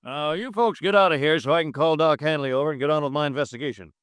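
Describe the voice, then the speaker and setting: A man's voice: on the high side, fast, fairly bright tone, clean tone, dry recording, studio quality, close to an everyday voice. An actor playing an officious young male police sergeant in a 1950s radio drama, brusque and dismissive. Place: studio.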